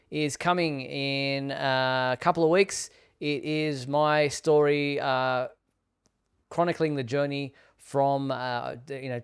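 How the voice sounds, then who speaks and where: A man hosting a live show, talking casually and haltingly in short phrases with pauses. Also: mid-range voice, clean tone.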